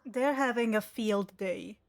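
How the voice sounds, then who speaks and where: High-voiced woman running a game, unhurried. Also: creaky. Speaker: game master. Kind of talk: running an online tabletop roleplay game.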